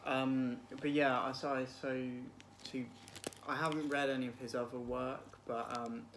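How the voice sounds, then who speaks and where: A man's voice: mid-range voice, flat delivery, a little slow, fairly bright tone. A man in his twenties chatting casually about books in a hesitant, thoughtful way, with trailing phrases. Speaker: book vlog guest, PhD student. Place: small room at home, indoors. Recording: clearly noisy home room recording.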